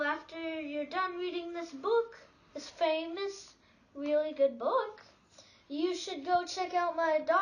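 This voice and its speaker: A child reading a children's book aloud expressively, with halting delivery and stumbles over words. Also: very high voice, dull audio.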